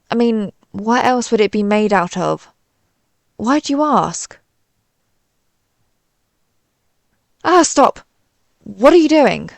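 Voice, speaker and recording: A woman's voice: mid-range voice, gravelly, no room sound. A woman playing a pompous, easily flustered female alien invader in a scripted audio roleplay, with haughty, theatrical, formal phrasing. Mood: flustered, alarmed.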